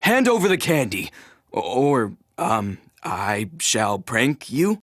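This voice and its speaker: A man's voice: a little high, quick pace, no echo, natural voice. A man voicing an upright, earnest fantasy knight in a video game, speaking formally and stiffly. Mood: awkward, hesitant. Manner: formal, stilted. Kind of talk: scripted video game voice lines.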